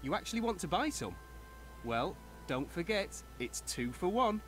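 Chatty fish stall seller. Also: male voice, high-pitched, sing-song, normal speed, a bit bright. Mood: salesy. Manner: wheedling salesman patter. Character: chatty hapless male fish stall owner. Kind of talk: video game voice acting. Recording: game audio with music behind.

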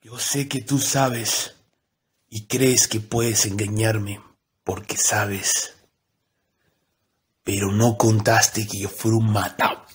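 A man voicing a menacing, deliberate killer with a husky voice in an amateur comic anime dub. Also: fairly low pitch, level tone. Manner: slow, threatening, deliberate.

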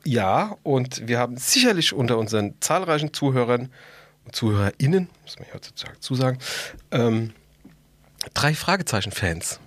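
A middle-aged man co-hosts a podcast in a husky voice, talking in a slangy, enthusiastic way. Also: mid-range voice, big pitch swings, normal speed, no room sound. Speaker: podcast co-host. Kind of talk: casual podcast chat.

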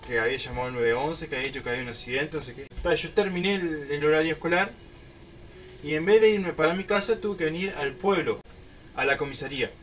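A young man with a Uruguayan accent, telling a story casually to camera in an informal, halting way. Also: on the high side, fairly bright tone, far from the mic. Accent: Uruguayan Spanish. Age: young adult. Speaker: YouTube storyteller. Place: small room at home.

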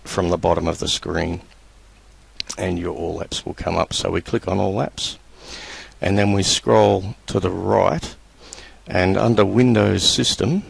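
A man presenting a screen-recorded computer tutorial, talking in a relaxed, halting way with many fillers. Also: deep voice, creaky, slightly rough, no echo.

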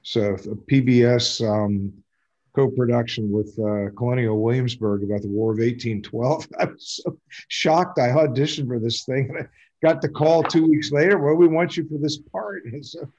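An older man with an American accent talks casually over a video call. His voice sits at a middling pitch, and he rambles in a halting, self-deprecating way, like an amateur actor at a talkback. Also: darkish tone, lo-fi sound. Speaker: community theater actor. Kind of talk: casual chat at an online talkback. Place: indoors at home on a video call. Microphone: video call microphone.

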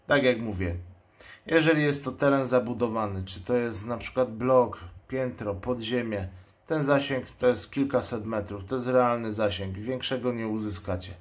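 A man's voice: mid-range voice, moderate pace. A man giving an unscripted product presentation in speech, explaining things in a matter-of-fact way. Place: indoors at a table.

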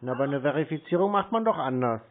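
Male, casual muttering phone talker playing slow, thin phone-band sound with faint noise. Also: medium pitch, animated, narrow, tinny audio. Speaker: scambaiter taking a scam call.

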